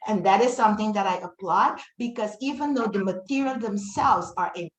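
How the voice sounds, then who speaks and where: Papiamento-speaking woman, echoey room. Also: mid-range voice. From Dutch Caribbean. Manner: earnest, fluent, many "um" pauses. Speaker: webinar panelist, teacher trainer. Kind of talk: webinar panel talk.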